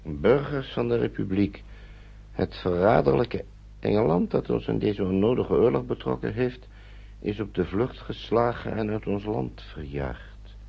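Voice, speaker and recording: Radio play: a wary, gentlemanly Englishman, low and gravelly, hesitant delivery, lo-fi sound. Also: lively intonation, a little slow, exaggerated voice. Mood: reading gravely. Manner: hesitant, mumbled repetitions. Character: cautious, hesitant Englishman in hiding, gentlemanly uncle. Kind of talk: radio drama acting. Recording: dull lo-fi radio recording.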